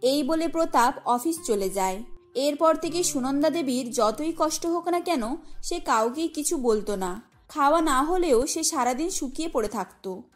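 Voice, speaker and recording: A woman with a high voice narrates a scripted cartoon story. Faint music plays behind her. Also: animated, normal speed.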